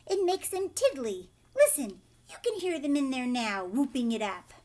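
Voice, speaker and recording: An exuberant candy-factory boss from a children's storybook, acted out by a woman reader. The voice is high and swoops about, brisk and teasing, stressing words with insistent, triumphant glee. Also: sing-song, a little mellow. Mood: brisk, gleeful.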